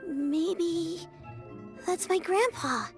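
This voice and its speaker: Earnest young gal in a game, really high voice, light music under. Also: female voice, extremely slow, full character voice. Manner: earnest, hesitant, casual. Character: earnest young woman searching for her grandmother's past.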